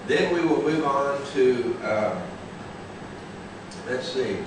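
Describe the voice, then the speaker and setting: A man giving a classroom lecture as a visiting lecturer, halting and pausing with many fillers. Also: medium pitch, animated. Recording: echoey hall, voice far from mic, loud background hiss.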